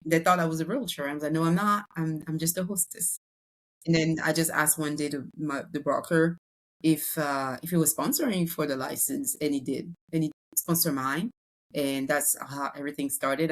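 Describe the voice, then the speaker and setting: French-accented woman, deep-ish voice, chatty broker in a podcast interview. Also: rough recording. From Congo, raised in France. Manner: chatty, many fillers and restarts. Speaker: real estate broker.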